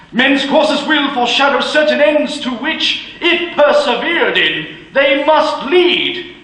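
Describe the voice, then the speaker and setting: A man playing a high-voiced old miser. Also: pushed and bright, full character voice. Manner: anguished, rising to desperate cries. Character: older male miser, frightened and pleading. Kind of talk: radio drama acting. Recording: very roomy reverb, faint music.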